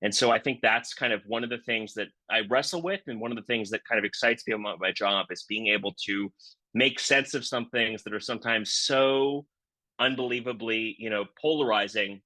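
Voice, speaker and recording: A man's voice: fairly low pitch, sharp tone, no room sound, rough recording. A man talking reflectively and earnestly as a political reporter on a webinar panel.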